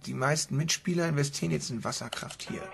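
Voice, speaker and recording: A man playing an earnest, intense son absorbed in a game, husky and creaky, in a German radio drama. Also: on the high side, quick pace, faint music behind. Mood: urgent, pleading.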